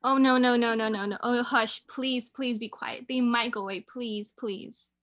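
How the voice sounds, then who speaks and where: A woman's voice: a little high, fairly even intonation, moderate pace, very noisy, natural voice. A woman playing a frightened wife and mother in a radio drama, pleading and repeating herself, desperate to hide. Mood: terrified pleading.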